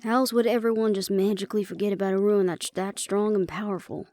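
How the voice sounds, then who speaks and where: A woman's voice: mid-range voice, fairly even intonation, quick pace, mellow, close to an everyday voice. A woman playing a sardonic, impatient and proud female vampire in a fantasy audio roleplay, speaking with sarcasm and disbelief. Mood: dry. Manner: sarcastic, incredulous.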